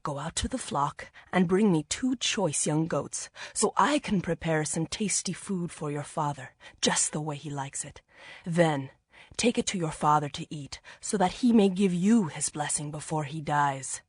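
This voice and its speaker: A woman playing a scheming, commanding mother in a scripture audio drama, hushed and insistent. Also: deep voice, measured pace, breathy edge, dry recording. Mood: conspiratorial. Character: Rebekah, scheming, commanding mother of grown sons.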